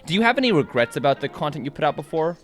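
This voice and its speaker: A man with a Californian accent hosting a casual interview, animated, laughing often and talking with lots of fillers. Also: a little high, racing, faint music behind. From United States. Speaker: podcast host.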